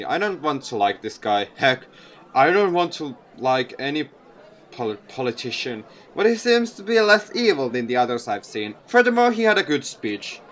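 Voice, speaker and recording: A man's voice: medium pitch, fairly bright tone. A man narrating a visual novel aloud as a gaming video creator.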